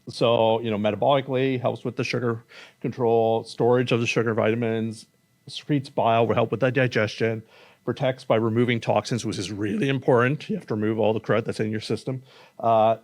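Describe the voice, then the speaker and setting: A man, an oncologist, giving a casual, plain-spoken public talk with slides in a conference room, speaking into a stage microphone. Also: medium pitch. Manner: casual, plain-spoken, says he has a loud voice.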